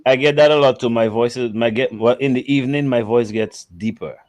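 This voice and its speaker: A man hosting a casual podcast chat, relaxed and quick to chime in. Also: medium pitch, crowd noise. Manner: relaxed, quick agreeing interjections. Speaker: host.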